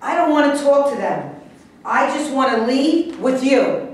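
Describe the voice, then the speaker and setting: In a very echoey room, a woman acts out a demanding, frightened old grandmother in a staged play. The voice is very high and swings widely, with slow, dramatic delivery. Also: expressive. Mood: impatient, demanding. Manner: slow, dramatic, pleading and scolding. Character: angry, fearful, demanding elderly grandmother who is a patient. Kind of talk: amateur staged reading of a play. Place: live staged reading in a reverberant room. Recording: very echoey room, distant mic, faint noise.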